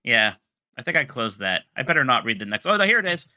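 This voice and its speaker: A man's voice: mid-range voice, fast talker, no room sound, clean studio sound. A man co-hosting a casual podcast who reads listener emails aloud and pokes fun at his own stumbles. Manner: animated, self-mocking when stumbling over words. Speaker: podcast co-host.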